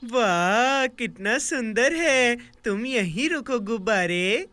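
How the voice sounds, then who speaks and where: A woman voicing a playful, impulsive little boy creature in a children's cartoon, with exclamatory, drawn-out delivery. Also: a little high, animated, noisy background. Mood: cheerful, eager.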